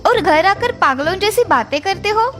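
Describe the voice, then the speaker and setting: Woman as a shrill-pitched scolding village mother. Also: animated, moderate pace, a bit bright, faint music behind. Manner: disbelieving, nagging, exclamatory. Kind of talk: animated moral story acting.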